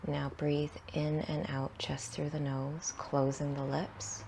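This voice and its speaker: A woman guides a meditation in a low-pitched voice thick with creaky fry. She speaks very slowly and soothingly, in short phrases that trail off softly and breathily between long pauses. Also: flat delivery, slight background noise.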